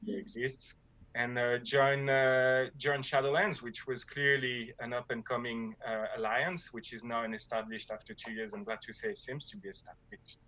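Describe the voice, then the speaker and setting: A man's voice: mid-range voice, steady tone, normal speed, bright, forceful tone, low-quality recording. A man from France with a French accent, talking about his gaming guild in a casual interview, hesitant with many fillers and restarts. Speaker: guild leader.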